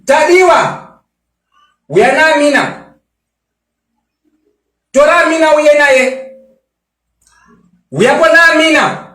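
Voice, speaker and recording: High-voiced young Zimbabwean man, taunting. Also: big pitch swings, slow pace, pauses often, pushed and bright, roomy sound. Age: 20s. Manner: boastful, taunting and mocking; mixes English into local-language talk. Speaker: social media personality. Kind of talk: angry response rant.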